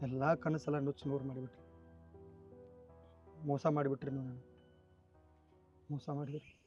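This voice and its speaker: ಉತ್ತರ ಕರ್ನಾಟಕದ ಉಚ್ಚಾರಣೆಯ ಒಬ್ಬ ಯುವಕ, ಮೋಸ ಹೋದ ಹಳ್ಳಿ ಹುಡುಗನ ಪಾತ್ರ ಮಾಡುತ್ತಾ ಆಕ್ರೋಶದಿಂದ ಆರೋಪಿಸುತ್ತಾನೆ. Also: male voice, sits high, quick pace, darkish tone, distant mic, lightly acted. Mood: hurt. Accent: North Karnataka Kannada. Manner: pleading, accusing, voice breaking. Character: cheated young village man dreaming of stardom. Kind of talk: short film drama. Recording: slightly dull with faint music.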